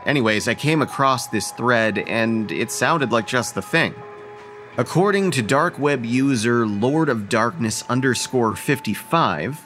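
Young college dude, casual, slangy, a bit defensive, medium pitch, audio drama dialogue. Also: male voice, animated, a little slow, fairly bright tone, soft background music. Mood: matter-of-fact. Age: young adult. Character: laid-back, defensive young male college prankster. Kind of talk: dramatic dialogue in old time radio style audio play.

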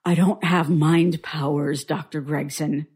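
A woman playing a haunted patient who haltingly recounts frightening childhood memories in an audio drama. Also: sits low, steady tone, slightly rough, heavily acted. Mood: dismissive. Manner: slow, halting, hushed suspense.

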